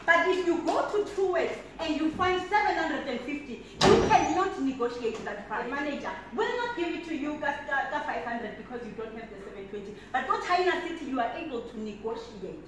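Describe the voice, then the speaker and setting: A woman's voice: high-pitched, big pitch swings, fairly quick, hardly any pauses. A South African woman in her twenties giving a motivational keynote, with emphatic, preacherly repetition, walking the floor as she speaks. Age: 20s. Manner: walks about the floor, gesturing as she speaks. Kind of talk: keynote speech to a conference room. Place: large conference hall, indoors. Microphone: handheld mic, heard from a distant camera. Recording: echoey hall audio from far off with background noise.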